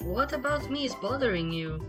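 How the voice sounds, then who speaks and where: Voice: medium pitch, animated, fairly quick, over music, voice pushed up, close to an everyday voice. A reader as a careful, thoughtful young unicorn colt. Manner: careful, formal wording without contractions.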